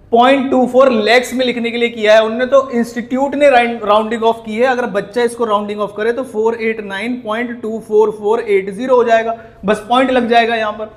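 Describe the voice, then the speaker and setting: An Indian man teaching in an explanatory commentary style, scolding and indignant, with emphatic rhetorical questions. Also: sits high. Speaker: accounting teacher. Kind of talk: explanatory video commentary on exam answer sheets.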